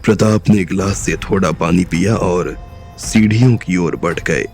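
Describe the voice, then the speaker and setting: A male narrator with a very deep voice and a gravelly fry. He tells the story in a steady, scripted way. Soft music plays underneath. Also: unhurried.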